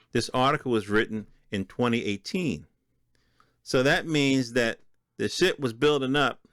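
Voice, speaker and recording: A man's voice: medium pitch, a bit bright, no room sound, clean studio sound. An American man hosting a podcast, commenting in an informal, opinionated, conversational way and speaking directly to his listeners. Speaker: podcast host. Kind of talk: casual solo commentary, reading and reacting to news articles.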